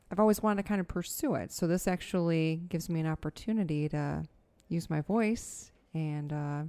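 A middle-aged woman with a soothing voice, co-hosting a casual podcast at home, easygoing and quick to laugh. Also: lowish voice, warm tone, no room sound. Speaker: podcast co-host. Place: home dining room.

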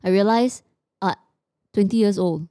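A woman's voice: mid-range voice, normal speed, dark tone, no echo, studio quality. Singaporean woman in casual podcast chat. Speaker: podcast co-host. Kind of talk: casual chat, code-switching.

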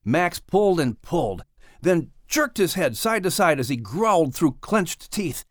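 A man reading a children's story as an audiobook narrator, with expressive, dramatic delivery. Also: a little high, measured pace, no echo, studio quality.

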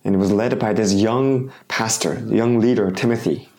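Hoarse pastor with a cold, halting sermon. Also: male voice, on the low side, a little mellow. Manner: halting, with frequent restarts and fillers.

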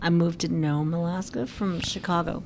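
Gravelly-voiced woman with faint noise. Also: fairly low pitch, steady tone. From Philippines, raised in South Carolina. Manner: teasing, quick questions. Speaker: podcast co-host. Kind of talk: casual chat.

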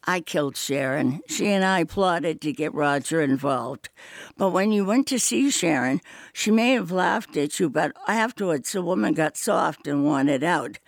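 A man's voice: fairly high pitch, expressive, unhurried, creaky, dry recording. A man voicing a cruel, scheming older sister who talks in a cold, mocking way. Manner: cold, contemptuous, taunting.

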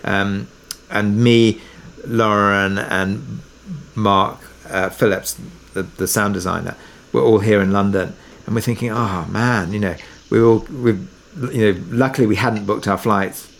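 A man's voice: on the low side, fairly even intonation, unhurried, gravelly, few pauses. A man talking casually as an interview guest, telling production anecdotes in a conversational way and thinking aloud as he goes.

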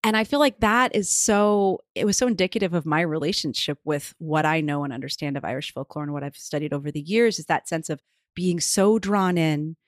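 A woman hosting a folklore podcast, interviewing her guest in an enthusiastic, thinking-aloud way that often climbs in pitch for emphasis. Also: a little fast, no room sound, clean studio sound. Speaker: podcast host. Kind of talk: conversational interview.

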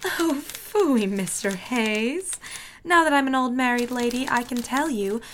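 An actress playing a bubbly, cheeky young married office friend in a period drama, breezy and sassy. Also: female voice, fairly high pitch, unhurried, a bit bright, a little hiss or hum. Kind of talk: period radio drama acting.

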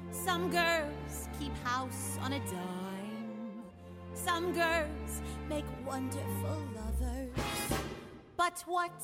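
A woman singing a playful, theatrical show tune over musical accompaniment. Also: high-pitched. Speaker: singer.

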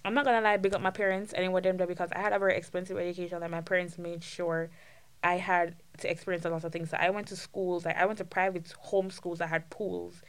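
A young woman with a Jamaican accent chats casually on a student podcast. Her voice sits a little low, and she gets animated and joking as she talks, speeding up when excited. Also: fast talker. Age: young adult. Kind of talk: casual chat.

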